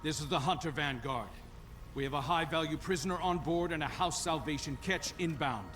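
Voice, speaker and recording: A man voicing a steady, diplomatic commander who speaks in a calm, measured, authoritative way. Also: on the high side, lightly acted. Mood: commanding. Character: steady male human commander, firm and diplomatic. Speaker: military commander. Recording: game audio with music. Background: music.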